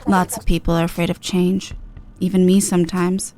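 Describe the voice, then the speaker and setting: A woman voicing a cool, level-headed video game guide in a measured, matter-of-fact deadpan. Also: lowish voice, soft background music, voice pushed down, close to an everyday voice. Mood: calm, sober.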